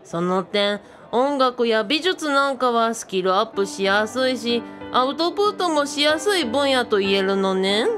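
A woman's voice: on the high side, expressive, normal speed, few pauses, over music, natural voice. A woman voicing an eager, bookish boyish male sidekick who speaks politely with quirky sentence endings in a comic radio drama.

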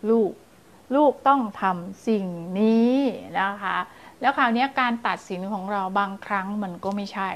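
A woman in her thirties, a child development teacher, giving parents advice to camera and now and then acting out lively story voices to show how. She speaks on a lapel mic in a small indoor studio. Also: mid-range voice, moderate pace. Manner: animated, acts out scary and playful story voices to demonstrate. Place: small indoor studio in front of blue backdrop.

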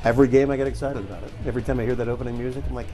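An upbeat man in his sixties chats easily in a ballpark press box, his voice at a middling pitch. A clear music bed plays under his interview answers. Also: little pitch movement, mellow. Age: 60s. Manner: upbeat, chatty, quick to laugh it off. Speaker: stadium announcer interviewed. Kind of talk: casual interview chat.

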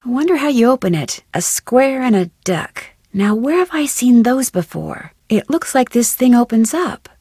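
A young woman with an American accent playing a curious, upbeat teenage girl detective in an adventure game, speaking in a clear, inquisitive way. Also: a little high, expressive, normal speed, hardly any pauses, warm tone, dry recording, clean studio sound. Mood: puzzled, curious. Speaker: video game voice actor. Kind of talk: scripted adventure game lines, thinking aloud.

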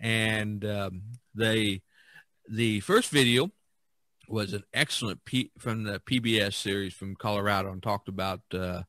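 A man from Indiana with an American accent, hosting a casual podcast chat in a halting way, often pausing mid-thought. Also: fairly low pitch, bright, forceful tone, dry recording. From Indiana, USA. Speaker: podcast host.

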